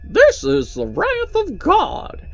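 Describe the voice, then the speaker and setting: A creepy puppet from a children's show in a very high voice. It preaches with smug, menacing glee and stretches out its words. The recording is somewhat muffled. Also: male voice, huge pitch swings, slow, soft background music, full character voice. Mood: sadistic glee.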